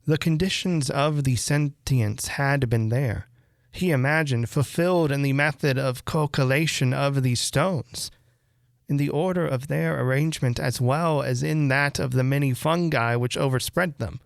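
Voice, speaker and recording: A man narrates a classic horror tale in a creaky, mid-pitched voice. His phrasing is measured and deliberate, with dramatic pauses between clauses. The recording is close and dry. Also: dry recording. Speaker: host reading a classic horror story aloud. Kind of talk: literary audiobook-style narration.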